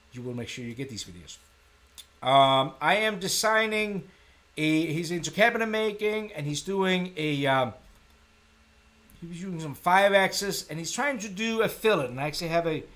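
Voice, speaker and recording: A middle-aged man with a Danish accent speaks in a slightly high voice. He hosts a live software tutorial in a casual, informal style, thinking aloud as he works. His manner is self-deprecating. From Denmark. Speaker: tutorial live stream host.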